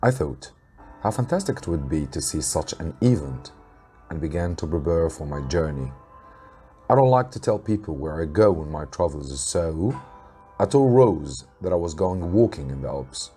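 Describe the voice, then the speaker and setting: A man, an Arabic speaker, reading a story to students as a teacher, with deliberate theatrical emphasis. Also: very deep voice, big pitch swings, warm tone, faint music behind. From Egypt. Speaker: English teacher.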